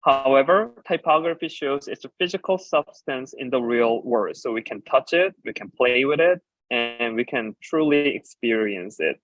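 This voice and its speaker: A man whose first language is Korean talks through his work on stage, pausing often with fillers as he explains. His voice sits at an ordinary pitch for a man. The recording is dry and a little dull, with a lo-fi edge. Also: animated, fairly bright tone, dry recording. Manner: halting, with frequent filler pauses while explaining. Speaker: designer and ceramicist giving a talk. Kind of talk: conference presentation about slides.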